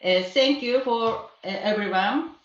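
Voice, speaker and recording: A woman's voice: on the high side, measured pace. A woman from Japan with a Japanese accent, a native Japanese speaker, giving a careful prepared introduction as a panel guest over an online call. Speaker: panel guest, water sommelier. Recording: muffled, echoey, distant video-call audio.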